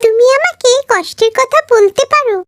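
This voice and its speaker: Magical fairy peahen, voiced by a woman: very high, kindly, benevolent. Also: normal speed, no echo, studio quality. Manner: caring, benevolent.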